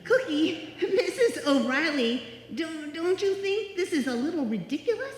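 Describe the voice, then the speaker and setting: A woman's voice: high-pitched, very animated, unhurried. A woman playing a sensible but flustered young tenant in live old-time radio comedy, hesitant and exasperated. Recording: echoey hall, live stage performance.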